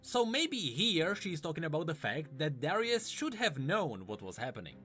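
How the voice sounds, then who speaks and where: Bright, animated man explaining game lore. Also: on the high side, normal speed, faint music behind. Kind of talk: scripted narration and commentary.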